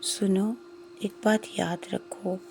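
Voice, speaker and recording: Woman as humble storybook cobbler man, gentle, earnest, principled, creaky mellow voice, faint hum. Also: mid-range voice, steady tone, close to an everyday voice. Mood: gentle, firm. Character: humble, devout, principled poor male cobbler.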